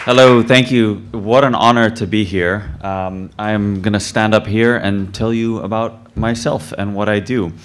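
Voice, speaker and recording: A young man born in the UK gives an earnest self-introduction at a mic, relaxed and loose, with plenty of ums and uhs. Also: fairly low pitch, measured pace, few pauses, clear, resonant tone. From born in the UK, raised in many countries. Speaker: photographer and filmmaker. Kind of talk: short self-introduction speech. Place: club event hall, talking at a mic.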